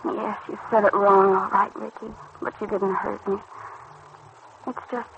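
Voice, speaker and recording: A woman's voice: medium pitch, little pitch movement, unhurried, creaky. An actress playing a tender, anxious teenage girl in love, speaking hesitantly and earnestly in a mid-century radio soap style. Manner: soft-hearted, hesitant, earnest. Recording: muffled, noisy old radio recording.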